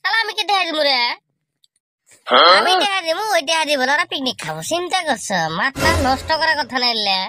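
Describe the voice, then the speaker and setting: A man voicing a cheeky, self-pitying young village slacker in a comic cartoon, with a rural Bengali accent, exaggerated slangy grumbling and a pitched-up voice. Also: expressive, brisk, noisy background. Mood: scheming, annoyed. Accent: rural regional Bengali. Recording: loud music under the voice. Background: loud music.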